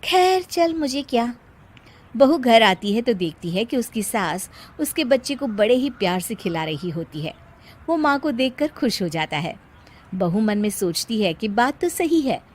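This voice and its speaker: A woman's voice: on the high side, lively intonation, moderate pace. A woman narrating a cartoon moral story in a plain, scripted storytelling manner.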